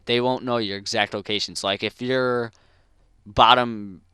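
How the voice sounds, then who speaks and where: A man's voice: medium pitch, fairly even intonation, moderate pace, pushed and bright, smooth, no echo. A teenage man giving casual commentary on his game setups, speech slightly slurred by a dental appliance, sick, rambling with lots of fillers. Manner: rambling, filler-heavy, informal gamer slang. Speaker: competitive gamer and video host. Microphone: gaming headset mic.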